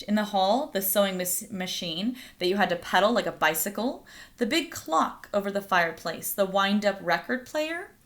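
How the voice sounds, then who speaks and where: A woman storyteller with a mid-pitched voice reads a picture book aloud to children. She narrates in plain phrases and speaks to her young listeners directly. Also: a bit bright. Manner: pauses to ask listeners questions.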